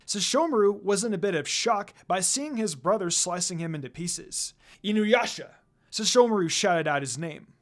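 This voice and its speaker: Expressive woman narrating a story. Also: on the low side, normal speed, hardly any pauses. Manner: dramatic, expressive reading. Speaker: fan fiction narrator.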